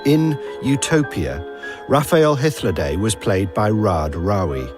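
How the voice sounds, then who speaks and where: A man reading closing credits in a measured, formal, scripted style. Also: on the low side, big pitch swings. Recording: mallet music behind voice.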